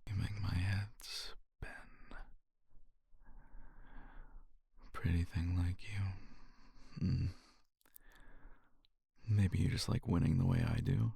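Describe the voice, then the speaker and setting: A man playing a cocky, flirtatious competitor in an intimate romance ASMR roleplay, murmuring teasingly with a gravelly, husky, breathy voice close to the microphone. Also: sits low, flat delivery, dark tone, no room sound, full character voice. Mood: seductive, challenging. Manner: teasing, unhurried, murmuring with sighs and pauses.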